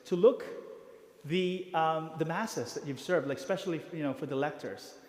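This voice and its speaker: A priest briefing parish volunteers, talking haltingly with many fillers and restarts. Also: male voice, a little high. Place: church hall. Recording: reverberant church hall.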